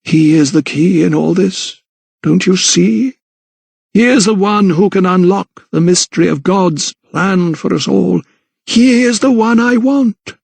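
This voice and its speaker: Male reader as elderly vicar, fanatical, condescending, drawn-out delivery, raised pitch, audiobook villain. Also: gravelly, a little mellow, husky, dry recording, full character voice. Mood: smugly fervent. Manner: slow, sanctimonious, unctuous. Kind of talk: dramatised audiobook character dialogue.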